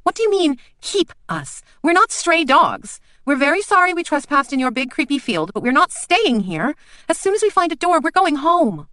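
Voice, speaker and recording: A frightened young girl, her voice pushed high and loud as she pleads, as a narrator puts her on. The sound is dry and close. She comes across urgent and upset. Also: sing-song, normal speed, no echo, full character voice.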